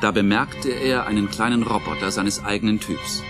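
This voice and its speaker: A man's voice: fairly low pitch, fairly even intonation, normal speed. A man narrating a science fiction radio play as scripted, dramatic storytelling. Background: music under the voice.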